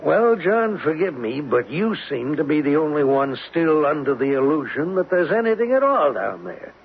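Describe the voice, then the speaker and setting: A gruff veteran American drilling boss in his sixties in a radio drama. His voice is fairly high and full of gravel, blunt and impatient. The recording is muffled, with faint noise. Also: very animated, moderate pace. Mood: exasperated. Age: older, around 60. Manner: grumbling, blunt.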